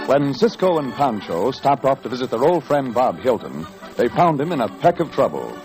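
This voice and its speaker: A man's voice: medium pitch, sing-song, normal speed, over music, low-quality recording. An American man narrating a radio western as its announcer, in a dramatic, emphatic old-time style.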